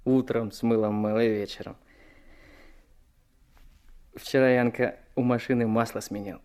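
An actor playing an affectionate, easygoing young newlywed truck driver in a radio play. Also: male voice, mid-range voice, steady tone, a little slow, a little mellow, clean tone, lightly acted. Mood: happy, easygoing. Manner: plain-spoken, easygoing, fond.

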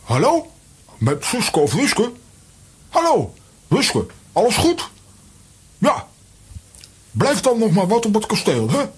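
A breezy, blustering character from a children's audio play. His voice is very rough and husky, pitched high. It leaps around extremely freely. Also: huge pitch swings, takes it slowly, pauses often, heavily acted. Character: Lambiek, breezy, careless, blustering cartoon man. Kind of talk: children's audio drama acting.

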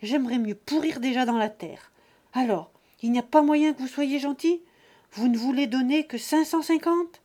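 A man's voice: very high-pitched, moderate pace, mellow, voice pushed up. A woman reading aloud and voicing an old, domineering peasant father who roars threats at his children. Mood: bitter. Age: older. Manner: roaring, threatening.

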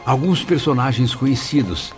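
A man's voice: medium pitch, animated, moderate pace, darkish tone, faint music behind. A man with a Brazilian accent narrating a scripted radio drama in a formal, literary manner.

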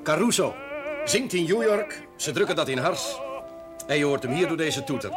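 An actor playing an enthusiastic, exclamatory gramophone lover in a television period drama, on an old soundtrack. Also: male voice, a little high, very animated, moderate pace. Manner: eager, exclamatory. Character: enthusiastic male gramophone lover, marvelling and hospitable. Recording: loud music over old television soundtrack. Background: loud gramophone music.

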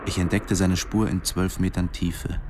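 A low-voiced, wry diving instructor. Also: male voice, flat delivery, measured pace, heavy background noise. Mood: matter-of-fact, tense. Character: diving instructor, man, wry, defensive, narrating his story. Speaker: narrator. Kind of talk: radio drama first-person narration.

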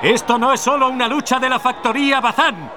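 An actor playing an indignant union leader in a radio drama, speaking in an urgent, rallying way. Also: male voice, very high voice, fairly quick, crowd noise. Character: fiery male union worker leader.

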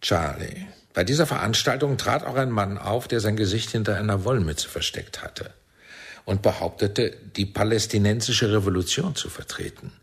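Older spy boss in a radio play, low husky voice, sly and commanding. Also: male voice. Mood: probing, accusing. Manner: deliberate, probing, swinging from soft to barking. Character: shrewd, commanding older male Israeli intelligence chief, paternal and sardonic. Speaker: actor in radio drama. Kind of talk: German radio drama acting.

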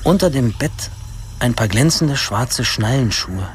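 An actor playing a wry, self-important first-person narrator in a radio drama, dry and ironic. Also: male voice, mid-range voice, expressive, unhurried, a little hiss or hum, lightly acted. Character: wry male narrator looking at his own youth, self-important.

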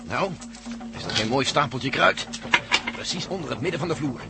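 A man's voice: medium pitch, animated, moderate pace, gravelly. An actor playing a cool, smug, scheming male saboteur in a radio play. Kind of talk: Dutch radio play acting. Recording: radio play with loud music.

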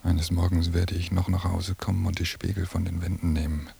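A very gravelly, low-pitched man, husky and breathy to the point of half-whispering. He is paranoid and obsessive, brooding deliberately through his secret thoughts. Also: level tone, mellow, full character voice. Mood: paranoid, hushed. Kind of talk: radio drama interior monologue.

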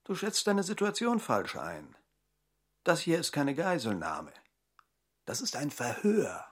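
An actor playing a controlled interrogator who sets the terms, in a radio drama. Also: male voice, on the high side, huge pitch swings, slow pace, exaggerated voice. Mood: menacing. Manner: cool, controlled menace. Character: cold male interrogator.

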